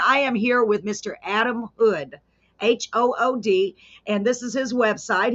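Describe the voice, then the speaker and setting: A woman's voice: a little high, fairly bright tone, muffled recording. A woman with a Texan accent hosting a casual live interview, chatty and enthusiastic. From Texas. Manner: chatty, enthusiastic, reads viewer comments aloud. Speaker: host.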